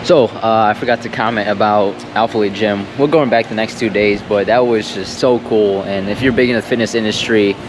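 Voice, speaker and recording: A man's voice: on the low side, fairly even intonation, fairly quick, few pauses, noisy background. A young man in his twenties from Ohio vlogging with upbeat, hyped energy, talking straight into a handheld action camera. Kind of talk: casual vlog narration. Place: apartment, gym and outdoor courtyard. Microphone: handheld action camera at arm's length.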